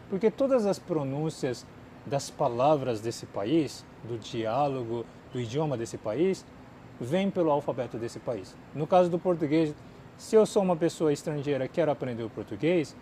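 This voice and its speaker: A Japanese-born man, a non-native speaker, chats loosely with a fairly high, mellow voice. He fills his talk with little fillers and swings between bright bursts and low mutters. Faint outdoor noise sits under him. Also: normal speed. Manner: chatty, many fillers, drifts between lively and mumbling. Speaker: channel host answering viewer questions. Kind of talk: casual chat. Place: outdoors in a city.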